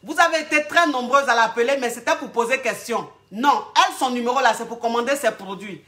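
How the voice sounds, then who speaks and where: A woman coach talks straight to her callers and keeps calling them darling. Her voice sits high and rings bright and clear. She sounds blunt but motherly, and firm when she makes a point. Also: a little fast. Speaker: relationship coach answering callers. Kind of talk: live call-in advice.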